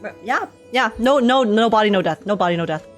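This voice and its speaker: A woman streaming a casual game reaction, talking in an insistent, halting, repetitive way. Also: medium pitch, lively intonation, faint music behind.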